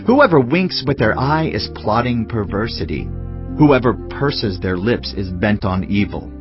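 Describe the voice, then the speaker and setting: A man giving a dramatised reading of scripture in a solemn, measured delivery. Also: lowish voice, muffled recording. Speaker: audio Bible reader. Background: clear background music.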